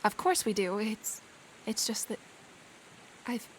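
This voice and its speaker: An actress playing a modest, warm-hearted young lady who speaks in a proper, hesitant way, in a period audio drama. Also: mid-range voice, animated, takes it slowly, slight background noise. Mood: flustered.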